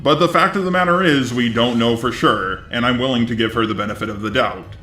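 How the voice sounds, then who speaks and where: Low-voiced man commentating in a roomy echo. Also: brisk, sharp tone, soft background music. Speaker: YouTube commentator. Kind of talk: scripted drama commentary.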